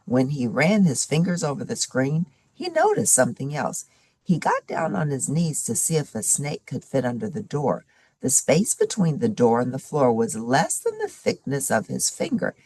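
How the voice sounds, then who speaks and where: A woman reading a children's chapter book aloud in an animated, expressive storytelling manner. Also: extremely low pitch, moderate pace, breathy edge, no echo. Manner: animated storytelling with varied character voices. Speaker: story reader.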